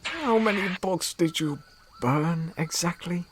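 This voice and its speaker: A woman playing a mischievous grown cartoon aunt who is teasing, sardonic and quick to scold. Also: lowish voice, lively intonation, very noisy. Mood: exasperated. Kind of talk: animated fan audio drama acting.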